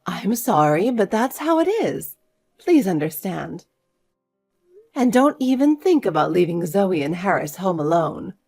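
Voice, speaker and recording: A woman voicing a caring but firm older grandmother, earnest and measured, in an acted family story. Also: on the low side, sing-song, a little mellow, faint music behind, exaggerated voice.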